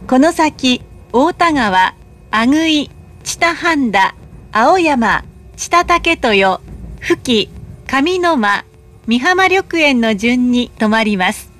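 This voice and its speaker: A woman reading scripted train announcements in a polite, measured, carefully enunciated way. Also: sits high, animated, fairly bright tone. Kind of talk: recorded public announcement, scripted.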